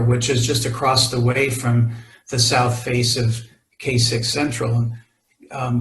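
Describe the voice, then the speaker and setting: A man presenting a mountaineering talk over photos, halting and full of fillers and restarts. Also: mid-range voice, reverberant, rough recording. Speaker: expedition climber presenting. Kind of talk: presentation to a club audience.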